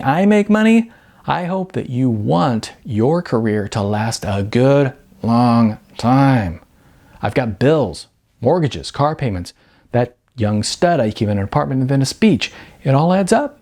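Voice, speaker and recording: A man's voice: medium pitch, expressive, unhurried, a little mellow. A man voicing a brash, chatty middle-aged female talent agent, breezy, blunt and flirty, in an audiobook. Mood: breezy, teasing. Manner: breezy, wisecracking, emphatic.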